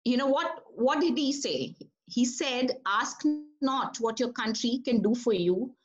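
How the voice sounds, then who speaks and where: A woman's voice: fairly high pitch, lively intonation, measured pace, dull audio. Woman leading an online writing workshop. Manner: conversational, asks the group questions.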